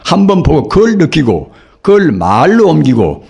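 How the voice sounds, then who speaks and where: Old monk with a cold, gravelly husky voice, lively up-and-down sermon delivery. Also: male voice, on the high side. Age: older. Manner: slow, earnest preaching with rhetorical questions. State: hoarse from a cold. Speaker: Buddhist monk giving a dharma talk. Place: temple dharma hall.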